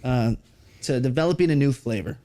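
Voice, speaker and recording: A man's voice: mid-range voice, brisk, dark tone. A man hosting a casual interview, upbeat and affirming as he reads out questions. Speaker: podcast host.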